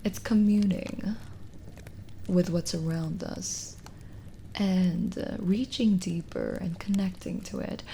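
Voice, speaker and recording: A kindly, lonely witch voiced by a woman talks intimately to the listener in an ASMR roleplay. Her voice is soft, a little low and creaky, often dipping toward a whisper. She is gently teasing and chatty, over audible background noise. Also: fairly even intonation. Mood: earnest, wistful. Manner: slow, hesitant, gently teasing.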